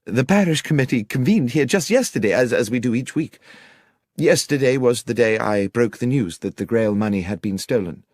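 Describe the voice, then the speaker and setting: An earnest young country vicar, acted out by a male narrator, anxious and unfailingly courteous. His speech is articulate and wordy, with lively rises and falls, in a close, dry audiobook sound. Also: medium pitch, moderate pace, no echo, lightly acted. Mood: troubled. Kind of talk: audiobook character dialogue.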